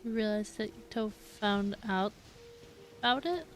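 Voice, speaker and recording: A woman's voice: medium pitch, expressive, measured pace. A woman giving casual gameplay commentary on a stream, musing to herself as she plays. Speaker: game streamer.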